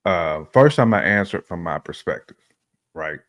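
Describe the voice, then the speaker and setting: A middle-aged man with a very low voice talks informally as a show panelist. His speech is colloquial and conversational, captured close and dry. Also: lively intonation, normal speed, fairly bright tone, no echo. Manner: informal, colloquial, laughs while talking. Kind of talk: casual opinionated chat.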